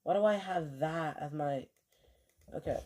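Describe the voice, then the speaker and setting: A man's voice: on the high side, measured pace, noisy background. A man chatting casually to his stream while he plays, rambling with lots of fillers and offhand swearing, keyboard clicks behind him. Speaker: game streamer.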